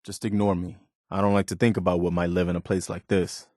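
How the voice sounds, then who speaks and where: A man's voice: deep voice, little pitch movement, quick pace, a little mellow, no echo, clean studio sound. A man playing an earnest, loyal militia officer in a video game, speaking sincerely and plainly with a sense of duty. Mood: cooperative, calm. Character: earnest, steadfast militia officer, loyal adult male companion. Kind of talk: acted video game dialogue.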